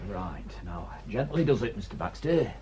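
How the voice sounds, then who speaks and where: An actor playing a helpful, mild village inn landlord in a radio drama, homely and solicitous. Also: male voice, fairly low pitch, very animated, moderate pace, mellow, slight background noise. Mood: obliging. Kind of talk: British radio drama acting.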